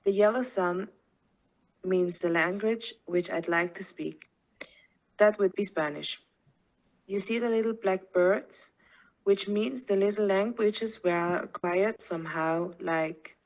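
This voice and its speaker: A woman whose first language is Cantonese, reading a prepared personal reflection as a voiceover in a calm, measured way. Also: mid-range voice, low-quality recording.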